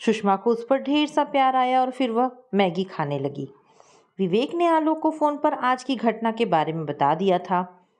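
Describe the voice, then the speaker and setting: A woman reading a family moral story aloud in a steady, tender narrating manner. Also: on the high side, normal speed.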